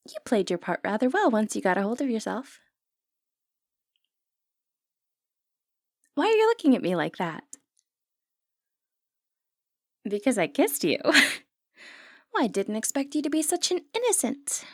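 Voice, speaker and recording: Woman acting a flirtatious high-voiced lady thief. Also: sing-song, fairly quick, a little mellow, no room sound, clean studio sound. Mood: amused, teasing. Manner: playful, teasing, genteel old-fashioned phrasing. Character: flirtatious, confident lady thief of noble upbringing. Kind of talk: ASMR fantasy romance roleplay acting. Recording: background music under voice.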